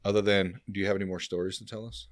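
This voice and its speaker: A man's voice: deep voice, steady tone, rapid-fire. A man hosting a podcast, chatting informally and jokingly with some mild profanity. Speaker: podcast host. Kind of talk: casual interview chat.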